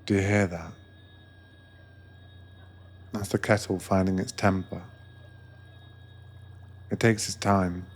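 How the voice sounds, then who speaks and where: A man with a deep voice speaks very slowly in a scripted ASMR meditation. He plays an attentive host aboard a sleeper train, soothing and gentle, never hurrying the listener. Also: level tone, husky. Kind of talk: ASMR guided meditation roleplay, scripted narration.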